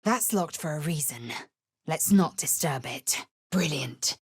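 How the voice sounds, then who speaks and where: A low, raspy female alien warrior for a video game. She is fierce and contemptuous, weighing each word slowly and giving orders with imperious, clipped precision. The sound is close and dry. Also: lively intonation, dry recording, full character voice. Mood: stern, commanding. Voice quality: husky, raspy, breathy edge. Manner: clipped, imperious, deliberate delivery. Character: fierce, haughty githyanki warrior woman, contemptuous and martial. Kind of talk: video game character acting.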